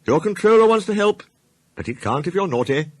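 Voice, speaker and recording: A man playing a kindly clergyman in a children's storybook fan dub, encouraging and gently admonishing. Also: high voice, big pitch swings, brisk, no room sound. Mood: earnest, kindly. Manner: encouraging, indulgent.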